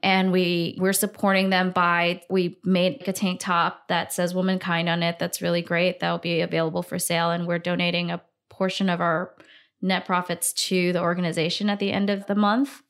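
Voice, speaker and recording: A woman's voice: fairly low pitch, flat delivery, normal speed, fairly bright tone, smooth. A woman in her thirties from Singapore, a brand founder talking enthusiastically in a podcast interview. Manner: enthusiastic, upbeat, frequent fillers.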